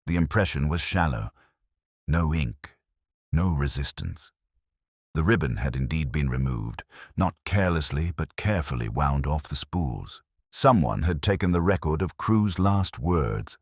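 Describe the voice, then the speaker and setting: A man narrating a scripted first-person detective story in a measured, literary, reflective way. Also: extremely low pitch, expressive, breathy edge, no echo, clean studio sound.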